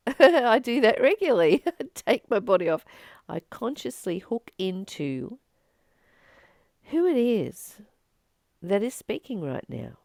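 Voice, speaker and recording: A woman reading from a spiritual book and commenting on it informally, in an earnest, emphatic manner with deliberate pauses. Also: on the high side, very animated, vocal fry, darkish tone, dry recording, clean studio sound.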